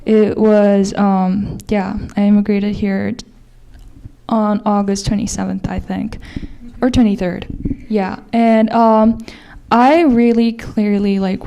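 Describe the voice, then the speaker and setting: A woman's voice: medium pitch, warm tone, clean tone. A young adult woman from Nepal, a college senior, telling her immigration story casually with frequent fillers. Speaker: college student. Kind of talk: personal testimony.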